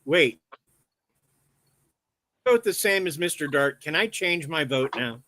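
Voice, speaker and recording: A man's voice: fairly high pitch, expressive, slow, sharp tone, a little hiss or hum. A man on a podcast panel, chatting casually about music and his picks.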